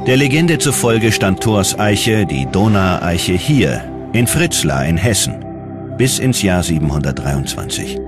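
A man's voice: deep voice, big pitch swings, measured pace. A man delivering scripted documentary narration in a dramatic storytelling manner. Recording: prominent music under the narration. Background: loud background music.

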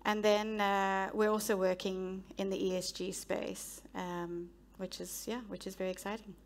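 A South African woman in her thirties, a procurement head answering interview questions on a conference stage in a measured, businesslike way with frequent ums, through a headset mic. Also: medium pitch, flat delivery. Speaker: guest speaker, head of procurement. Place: stage of a large conference hall.

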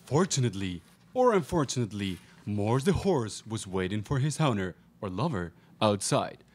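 A man's voice: fairly low pitch, big pitch swings, normal speed. A young man giving scripted storytelling narration for a live radio theatre piece. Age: young adult. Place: small stage, indoors. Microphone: stage microphone. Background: acoustic guitar.

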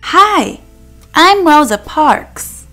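A woman narrating a children's picture book in an expressive, deliberate storytelling manner. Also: high-pitched. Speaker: children's read-aloud narrator. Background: faint music.

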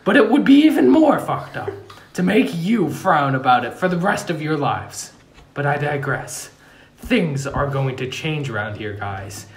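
A melodramatic, earnest king as an amateur reader acts him out, proclaiming to his people in a comedy table read. The pitch swings widely and theatrically, and the room adds noticeable echo. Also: sing-song, unhurried. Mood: earnest, uplifting. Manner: theatrical, swooping declamation broken by amusement. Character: melodramatic young king turned cheerful, earnest ruler. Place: room at home around a wooden table.

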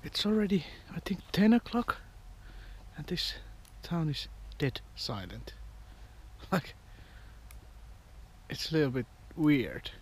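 A man's voice: on the high side, sing-song, slight background noise. A man, vlogging outdoors from a camera held at arm's length, musing in a rambling, wry way. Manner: rambling, wry, unhurried musing with short fragments. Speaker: van life vlogger. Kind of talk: casual walking vlog. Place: outdoors in a quiet village park and street.

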